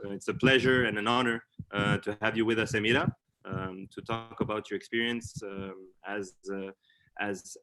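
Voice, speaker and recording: A man hosting a webinar as interviewer, speaking semi-formally in a halting, hesitant way with many ums. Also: on the low side, sharp tone.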